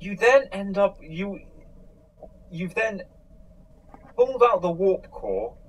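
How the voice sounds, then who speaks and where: A man in a casual discussion, explaining things haltingly and rephrasing as he goes. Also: high-pitched, rough recording. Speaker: podcast host. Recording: noticeable background noise.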